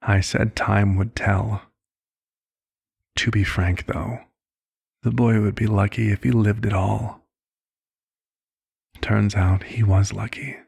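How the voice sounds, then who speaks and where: A man playing a calm, caring vampire doctor in an ASMR roleplay, speaking in a soft, measured, reflective way. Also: on the low side, gravelly, breathy edge, dry recording, studio quality, exaggerated voice. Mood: somber, reflective. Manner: measured, hushed, reflective storytelling. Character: calm, caring male vampire doctor recounting a past case.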